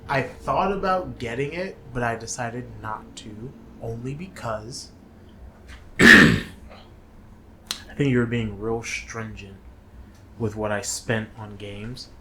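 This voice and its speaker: Slow, laid-back man chatting. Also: mid-range voice, bright, forceful tone, slight background noise. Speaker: podcast co-host. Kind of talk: casual chat reviewing a movie.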